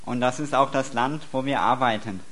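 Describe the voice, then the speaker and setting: A man's voice: mid-range voice, a bit bright. A man interpreting a sermon in a church, sometimes hesitating and restarting phrases. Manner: quick, with occasional hesitant restarts.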